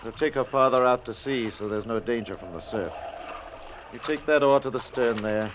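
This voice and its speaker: A man's voice: mid-range voice, normal speed, lightly acted. A man playing a weary but composed sea captain in an old radio drama, giving terse, authoritative orders. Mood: weary, steady. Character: weary, steady shipwrecked sea captain, calmly commanding. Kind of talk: 1940s-50s radio acting. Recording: muffled, noisy old radio recording. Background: water and rowboat sounds.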